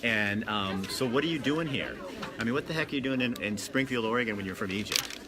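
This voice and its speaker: A man's voice: medium pitch, animated, brisk. A man hosting an on-location interview, asking friendly, joking questions with enthusiasm. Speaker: TV host, roving reporter. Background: crowd chatter.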